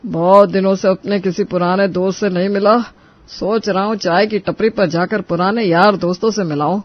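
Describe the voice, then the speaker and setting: Man acting a proud, high-voiced old father-in-law. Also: steady tone, normal speed, no room sound, highs cut off, lightly acted. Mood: musing. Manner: boastful, folksy. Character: proud, stubborn, gullible elderly father-in-law. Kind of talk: Hindi moral story cartoon acting.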